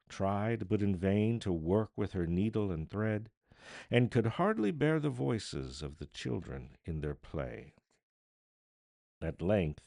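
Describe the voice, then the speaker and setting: A man narrating literature as an audiobook reader, theatrical, deliberate and solemn. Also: on the low side, vocal fry, dark tone, dry recording, clean studio sound.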